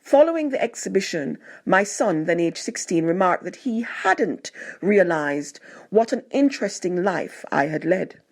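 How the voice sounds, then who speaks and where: Woman reading a memoir in measured phrases. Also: lowish voice, very animated. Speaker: narrator reading a written tribute. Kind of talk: scripted narration of a personal memoir.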